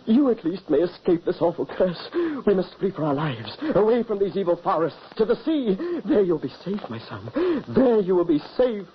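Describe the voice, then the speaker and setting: A man's voice: sits high, very animated, moderate pace, few pauses. An actor playing a tormented, guilt-stricken hunter father in an old-time radio drama, anguished and theatrical. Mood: desperate, tender. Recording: muffled, noisy old radio recording.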